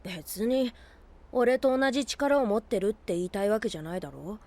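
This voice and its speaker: A woman voices a brash boy, worldly beyond his years. The pitch is medium for a female voice, and the delivery is rough, casual and cocky, in anime audio drama style. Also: expressive, normal speed, darkish tone, natural voice. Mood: wry. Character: cocky, blunt boy who is secretly much older.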